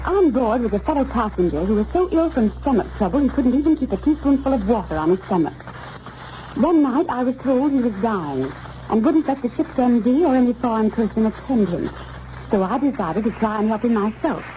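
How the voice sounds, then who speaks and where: Actress as earnest mellow-voiced woman, vintage radio testimonial. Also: a little high, expressive, brisk, close to an everyday voice. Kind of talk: 1930s radio advertisement testimonial. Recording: muffled, hissy 1930s radio recording.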